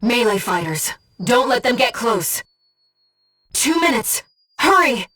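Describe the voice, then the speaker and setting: A woman voicing a disembodied female guide who speaks in a pilot's head as mission operator in a video game, with a soft, breathy voice and a precise, earnest delivery. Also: on the high side, sing-song, slow, far from the mic, exaggerated voice. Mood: urgent, alert. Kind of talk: scripted video game voice lines, mission briefings and battle callouts.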